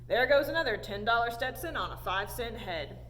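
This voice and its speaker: Lady doing a sassy picky country gal from a storybook, highish and lively, echoey. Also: brisk. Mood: bored, complaining. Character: spirited, picky young ranch belle, female. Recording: echoey hall, audible background noise.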